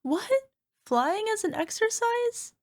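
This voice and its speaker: A woman's voice: very high-pitched, sing-song, slow, exaggerated voice. A woman playing an insecure, self-conscious cyclops monster girlfriend in an ASMR roleplay, talking in a dramatic, playfully exasperated way. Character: insecure chubby flying purple people eater girlfriend, a cyclops monster. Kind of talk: ASMR roleplay acting, conversational.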